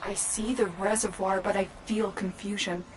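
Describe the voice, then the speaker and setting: An actress playing a grave, troubled female mystic guide in a video game, speaking in a measured, dramatic way. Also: mid-range voice, level tone, background noise, natural voice. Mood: solemn, troubled. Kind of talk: video game character acting.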